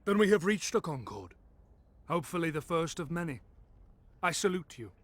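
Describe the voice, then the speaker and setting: An earnest male peace envoy from a fantasy game. He speaks formally and grandly in a higher-set voice with lively inflection and a close, dry sound. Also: moderate pace, no room sound. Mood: solemn, hopeful. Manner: formal, declamatory. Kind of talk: fantasy video game acting.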